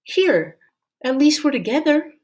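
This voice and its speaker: A woman's voice: sits high, sing-song, unhurried, a little mellow, voice pushed up. A woman voicing a heartbroken, pleading wife in a folktale.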